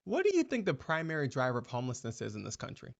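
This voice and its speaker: A male host giving commentary in a measured, deliberate, persuasive way. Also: medium pitch, huge pitch swings, gravelly, muffled recording.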